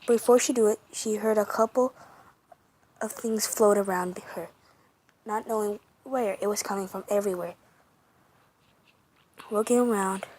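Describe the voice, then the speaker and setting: A woman narrating a fan story for her first amateur comic dub, halting with many restarts and self-corrections. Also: mid-range voice, darkish tone, slight background noise, poor audio. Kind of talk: reading a fan story aloud.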